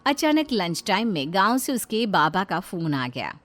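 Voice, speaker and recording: A woman's voice: medium pitch, sing-song, measured pace, a bit bright. Woman narrating a story over music.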